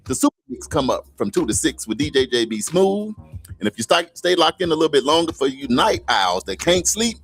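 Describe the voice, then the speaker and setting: A man hosts a morning radio show from the studio, talking in a lively, hyped-up way. His voice sits a little high and moves up and down a lot. Hip hop music plays faintly behind him. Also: a little fast, slightly rough. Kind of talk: energetic radio hosting.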